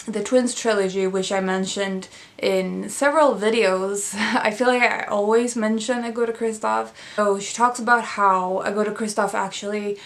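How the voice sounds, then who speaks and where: Young woman, Swedish native, relaxed book-vlog chat, hesitant with plenty of fillers. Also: medium pitch. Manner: thoughtful, many fillers and restarts. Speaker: book vlogger. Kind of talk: casual book review chat.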